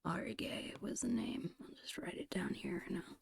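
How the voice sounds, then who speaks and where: A woman's voice: on the high side, measured pace, no echo. A woman whispering in an ASMR style, casually thinking aloud in short phrases as she narrates her own digital painting. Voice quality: breathy whisper.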